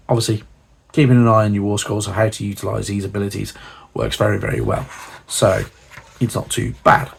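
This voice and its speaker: A man with a cold, hoarse and croaky, rambling casually through a hobby magazine walkthrough with many fillers. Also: lowish voice, darkish tone. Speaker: channel host reviewing a hobby magazine.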